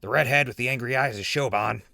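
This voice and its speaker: An actor playing a sardonic, dramatic, tired wasteland wanderer with a casual way of talking in an audio drama. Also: male voice, medium pitch, quick pace, creaky, bright, forceful tone, dry recording, clean studio sound. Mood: confident. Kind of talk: post-apocalyptic audio drama acting.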